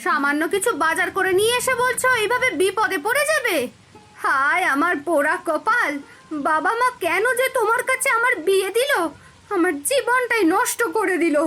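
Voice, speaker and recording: A woman's voice: extremely high pitch, lively intonation, moderate pace, pushed and bright, slight background noise, heavily acted. A woman playing an exasperated, nagging village housewife and mother in a cartoon, scolding and lamenting. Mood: bitter, scolding. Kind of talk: Bengali cartoon voice acting.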